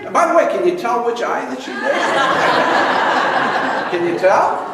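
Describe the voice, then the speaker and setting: A man in his sixties, a pastor, telling a humorous story in a sermon with a chuckling, lively manner. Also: very high voice, moderate pace, heavy background noise. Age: 60s. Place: large church hall with congregation. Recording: echoey hall from far off, congregation audible.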